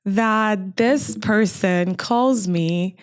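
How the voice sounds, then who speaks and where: Cameroonian woman with a smooth, clear voice. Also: medium pitch, drawn-out words, no room sound. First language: French. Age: 30s. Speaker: podcast guest. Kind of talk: casual interview conversation.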